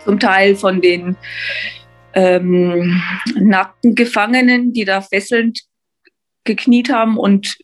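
Woman being interviewed, thinking out loud, bit halting, normal pitch, soft music under it. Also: level tone, low-quality recording. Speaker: eyewitness interviewee. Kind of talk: spontaneous reflective interview recollection.

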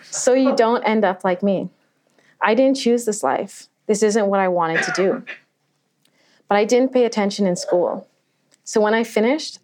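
A woman voicing an apologetic young masked male robber who talks in a rushed, pleading way. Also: mid-range voice, noisy background, voice pushed up, close to an everyday voice. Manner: words tumbling out, pleading.

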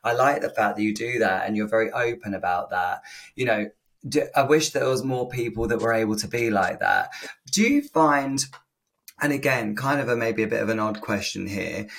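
A man's voice: lowish voice, normal speed, gravelly, a bit bright. A man hosting a casual interview, speaking politely and tentatively, with rambling questions. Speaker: podcast host.

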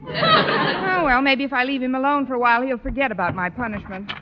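An actress playing a wry, wisecracking schoolteacher with a dry, sardonic manner in old radio comedy style. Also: female voice, sits high, lively intonation, fairly quick, over a noisy crowd. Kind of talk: 1940s-50s radio comedy acting. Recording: noisy, muffled old radio recording.

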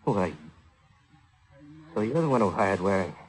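A man's voice: fairly low pitch, sing-song, normal speed, husky. An actor playing an evasive, hot-tempered crook who poses as a businessman, slangy and defensive, in a mid-century radio drama. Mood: defensive, accusing.

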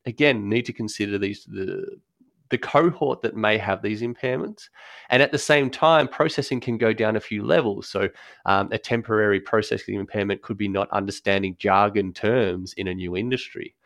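Low-voiced man explaining accessible design. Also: normal speed, creaky, fairly bright tone, no echo, clean studio sound. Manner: thoughtful, frequent ums and restarts. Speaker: guest expert on accessible design. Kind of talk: conversational podcast explanation.

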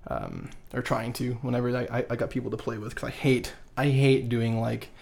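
Gravelly young man who stammers. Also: mid-range voice, a little fast, darkish tone. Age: 20s. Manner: stammering, repeats words, laughs often. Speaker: co-host. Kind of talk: casual podcast chat.

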